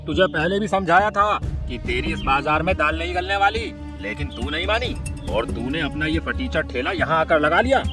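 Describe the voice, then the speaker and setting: A haughty, spiteful male crow from a children's cartoon, played by a man. His voice sits a bit high for a male and he talks with bullying scorn. Music plays clearly behind him. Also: animated, moderate pace, lightly acted. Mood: angry, threatening. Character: arrogant, rude, spiteful male crow shopkeeper. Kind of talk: children's cartoon villain acting.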